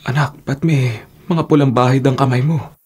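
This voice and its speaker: Worried, humble father played by a man, mid-pitched. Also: steady tone, normal speed, darkish tone, slightly rough, close to an everyday voice. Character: worried, humble, pleading working-class father. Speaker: radio drama actor. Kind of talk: Philippine radio drama acting.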